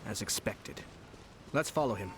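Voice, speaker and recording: A man voicing a shrewd young lord in a video game, measured, polite and formal. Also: lowish voice, animated, lightly acted. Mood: quietly watchful. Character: composed, shrewd young lord, calmly scheming.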